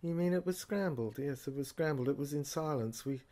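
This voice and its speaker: A woman playing a weary researcher in an audio drama, haltingly reading out translated fragments and repeating phrases. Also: low-pitched, dark tone, natural voice. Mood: puzzled, halting. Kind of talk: amateur serial audio drama acting, halting read-aloud dialogue.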